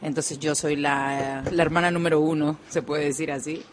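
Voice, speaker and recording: Calm Peruvian woman radio guest. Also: low voice, moderate pace, slight background noise. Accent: Peruvian Spanish. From Peru. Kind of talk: radio interview. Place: local radio studio.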